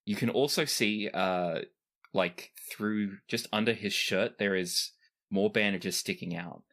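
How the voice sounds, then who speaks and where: A game master improvising casual, descriptive narration for a tabletop game, pausing for effect. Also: low voice. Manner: animated, descriptive, pauses for effect.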